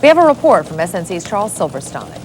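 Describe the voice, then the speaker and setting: A woman anchoring a television news bulletin, reading the headlines in a crisp, measured way. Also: fairly low pitch, big pitch swings, a little hiss or hum.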